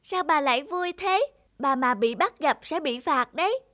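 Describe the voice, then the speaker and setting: A performer playing an excitable, helpful young talking rabbit in a cartoon fairy tale. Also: high voice, moderate pace. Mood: curious, eager. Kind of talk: cartoon animal voice acting.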